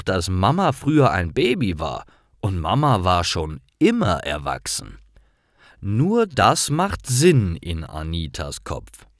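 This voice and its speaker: A man narrating simple scripted stories for language learners in a careful, expressive way. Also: sits low, no echo, clean studio sound. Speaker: storyteller for German learners.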